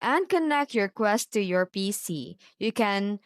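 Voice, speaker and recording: A woman's voice: mid-range voice, lively intonation, a little slow, no room sound, studio quality. A woman giving scripted, step-by-step tutorial narration in short instructional phrases.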